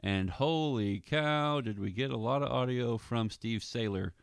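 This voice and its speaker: A man's voice: mid-range voice, measured pace, creaky, clear, resonant tone, no room sound, studio quality. A man giving casual, amused and easygoing commentary as an audio drama director on his vlog.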